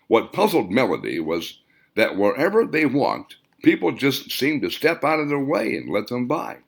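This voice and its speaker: Guy reading his story out loud, deepish voice, pitch goes up and down a lot. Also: vocal fry. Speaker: author narrating own audiobook. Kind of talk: scripted story narration.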